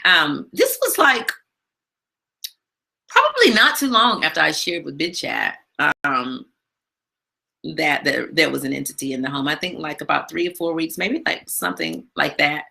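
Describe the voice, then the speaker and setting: Woman, live-stream host, bright forceful tone, low pitch, chatty with fillers. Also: very animated, normal speed, creaky. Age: adult with a daughter. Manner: chatty, many fillers, big loud voice. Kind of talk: casual live-stream chat. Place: home, not her usual studio.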